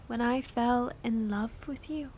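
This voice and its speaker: An actress playing a sorrowful, gentle young woman in an audio drama, breathy, grave and often half-whispering. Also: on the high side, measured pace, poor audio. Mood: sorrowful, resigned. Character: Belle, sorrowful, gentle young woman leaving her betrothed.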